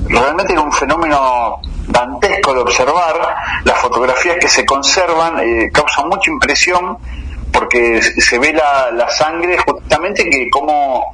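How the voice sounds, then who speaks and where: A man in his fifties with a Rioplatense Argentine accent, a guest journalist telling local cases in a radio interview, an unhurried and detailed storyteller. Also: a little high, noisy background. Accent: Rioplatense Argentine Spanish. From Buenos Aires, Argentina.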